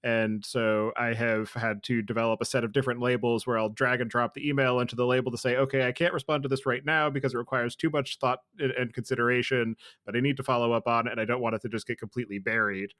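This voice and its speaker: Smooth, dry-sounding male podcast host. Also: medium pitch, flat delivery, a bit bright, dry recording. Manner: measured, articulate, long structured questions. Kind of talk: interview questions.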